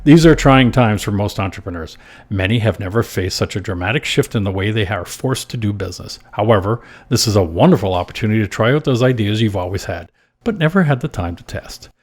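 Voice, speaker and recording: A man with a fairly low voice narrates scripted advice as a podcast host. His delivery is measured and instructional, laying out each point in order. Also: animated.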